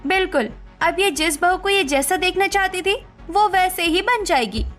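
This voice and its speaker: A woman's voice: very high voice, expressive, moderate pace, fairly bright tone, faint music behind. A woman playing a fed-up, resolute young daughter-in-law in a cartoon story, speaking earnestly and assertively. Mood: indignant resolve. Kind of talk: Hindi cartoon story dialogue.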